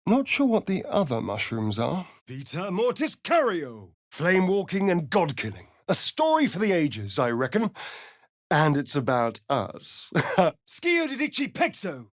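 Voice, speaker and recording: A man's voice: fairly high pitch, huge pitch swings, measured pace, no echo, clean studio sound. An actor playing a sly, vain, sardonic male vampire rogue in a video game, with an arch, drawling, theatrical delivery. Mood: hushed narration. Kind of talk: theatrical video game character lines and narration.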